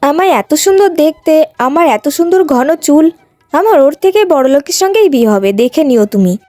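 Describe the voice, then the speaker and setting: A woman playing a high-pitched, headstrong young cartoon woman. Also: animated, moderate pace, warm tone, dry recording, faint music behind. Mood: haughty, indignant. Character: vain young long-haired woman, haughty and quarrelsome, later humbled.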